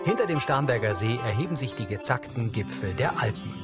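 A man's voice: mid-range voice, lively intonation, brisk. A man reading scripted narration as the audio describer of a TV episode. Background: soundtrack music.

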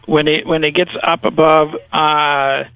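A man's voice: on the high side, little pitch movement, measured pace, smooth, no room sound. A man on a phone call, answering in a terse, patient, matter-of-fact way. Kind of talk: phone conversation. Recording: muffled, dry phone line.

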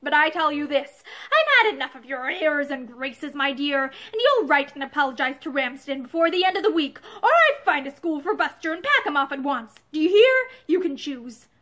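A woman's voice: high-pitched, very animated, moderate pace, hardly any pauses, bright, forceful tone, exaggerated voice. A woman voicing an angry, domineering middle-aged husband who talks harshly and savagely, in a storybook reading. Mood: raging.

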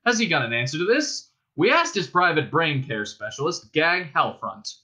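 Brash sci-fi radio newsreader, put on by a male narrator: squawky, bright, swooping upbeat patter. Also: on the high side, sing-song, normal speed. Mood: brash, excited.

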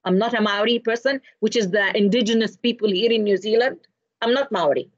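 A middle-aged woman from Egypt, giving an expressive, explanatory online lecture with anecdotes over a video call. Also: medium pitch, fairly quick, no echo. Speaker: lecturer presenting to teachers. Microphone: video call, computer microphone. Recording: dull, lo-fi video call audio.

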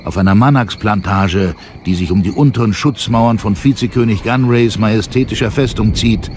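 A man narrating a space war audio drama in a solemn, measured, dramatic way. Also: on the low side, darkish tone, slight background noise, close to an everyday voice. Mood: grave, dramatic. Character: grave storyteller of a space war saga.

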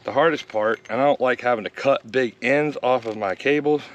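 A middle-aged man giving a relaxed, matter-of-fact how-to demonstration outdoors in a yard, thinking aloud as he works on camera. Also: mid-range voice, fairly quick, lo-fi sound. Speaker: homesteader demonstrating a repair. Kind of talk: casual how-to narration.

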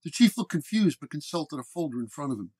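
A man's voice: a little high, sing-song, quick pace, a little mellow, breathy edge. A man reading a scripted first-person detective story as its narrator. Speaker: narrator and reader.

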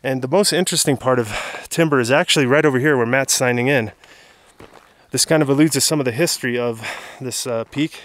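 A man's voice: medium pitch, brisk, a bit bright, no echo. Tired, chatty man on a mountain summit. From Southern California. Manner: chatty, tired and laughing, filler-heavy. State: exhausted after a hard climb. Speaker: hiking vlogger. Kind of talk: casual vlog talk to camera. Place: outdoors on a forested mountain summit. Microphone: handheld camera at arm's length.

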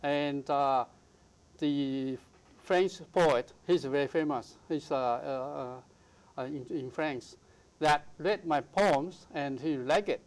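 Older man, Mandarin native, fairly high pitch, halting with fillers, lapel mic, studio interview. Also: rough recording. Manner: halting, many fillers and restarts. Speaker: poet guest. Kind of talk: interview conversation. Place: TV studio, seated.

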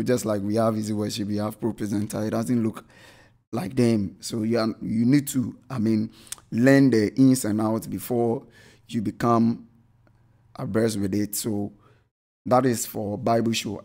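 A man's voice: medium pitch, darkish tone. A man giving an unscripted screen-share tutorial, often correcting himself with "I mean" and "um" as he explains. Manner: rambling, self-correcting with frequent "I mean" and "um". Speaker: tech channel host reviewing church software.

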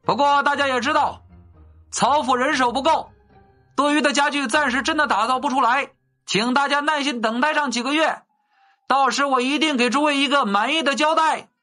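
A man voicing a confident young nobleman in an audiobook, loudly and courteously projecting as if to a crowd. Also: very high voice, normal speed, soft background music, pitched above the performer's own voice. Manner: loud, projecting, courteous public address.

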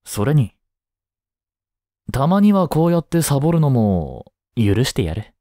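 A man acts out a kind, easygoing male teacher in an intimate voice drama. His voice is mellow and relaxed, and he talks slowly and reassuringly to one student. The recording is close and dry. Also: mid-range voice, dry recording. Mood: reassuring, encouraging. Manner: gentle, unhurried, casual, reassuring with long pauses. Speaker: voice drama performer. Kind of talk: Japanese voice drama, one-sided intimate talk to the listener.

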